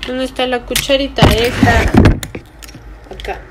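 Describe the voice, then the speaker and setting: Young woman vlogging over clear background noise. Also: high voice, fairly even intonation, a little slow. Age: young adult. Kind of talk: casual chat to camera while cooking. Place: small indoor room, at a table.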